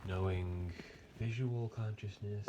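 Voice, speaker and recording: A man leading an unscripted guided body meditation in a gentle, unhurried manner with long pauses. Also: lowish voice, fairly even intonation, lo-fi sound, heavy background noise.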